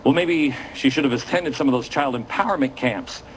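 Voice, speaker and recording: A man's voice: medium pitch, a little fast, slight background noise, rough recording. A man hosting a political commentary show, talking to viewers in a dramatic, indignant and sarcastic manner.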